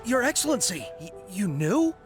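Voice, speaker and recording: An earnest, fervent game soldier voiced by an actor, loyal and deferential to a superior. The intonation swings widely, and music plays clearly behind the voice. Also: medium pitch, big pitch swings, normal speed, natural voice. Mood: pleading, defiant. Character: zealous, loyal soldier devoted to the commander. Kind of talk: video game voice acting.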